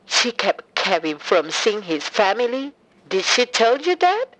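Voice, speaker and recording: A woman's voice: medium pitch, very animated, a little slow, a bit bright. A bereaved mother in an audio drama. Her mid-range voice comes as if over a phone call. She is blunt and suspicious, with grief turned bitter. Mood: bitter, accusing. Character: grieving, bitter mother of an adult son. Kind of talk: full-cast audio drama acting.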